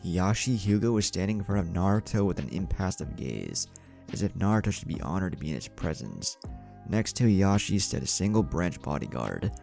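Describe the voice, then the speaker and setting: A man's voice: deep voice, big pitch swings, fairly quick, gravelly, darkish tone. A man reading a scripted story as a narrator, voicing the dialogue as he goes. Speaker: fan fiction narrator. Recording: music bed under the voice.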